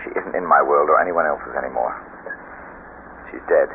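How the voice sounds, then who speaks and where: An actor playing a controlling, secretive, smooth-talking husband in a radio drama, with a clipped, commanding manner. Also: male voice, very deep voice, normal speed. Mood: guarded. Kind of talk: 1970s radio drama acting. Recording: noisy, narrow-band old radio broadcast.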